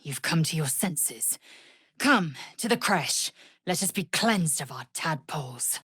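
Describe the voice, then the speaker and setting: An actress playing a proud, fierce, zealous female githyanki warrior in a video game, imperious, clipped and formal, with a husky, breathy rasp. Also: sits low, expressive, a little slow, fairly bright tone, dry recording, clean studio sound, exaggerated voice. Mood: resolute, zealous. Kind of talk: video game character acting.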